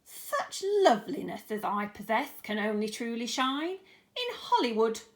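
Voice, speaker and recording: A woman's voice: a little high, very animated, unhurried. A storybook aunt, played by a woman reader, puffed up with vanity and cruelty. She declaims grandly and theatrically, thoroughly pleased with herself. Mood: preening, grand. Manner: pompous, theatrical, self-adoring. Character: vain, cruel, overblown aunt, Sponge.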